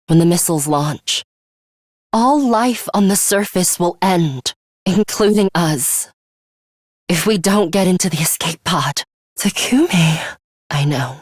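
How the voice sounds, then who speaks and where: A woman voicing a stern, composed young student fighter in a video game, speaking in a measured, serious way. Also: on the low side, breathy edge, dry recording, studio quality. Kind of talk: English video game character voice lines.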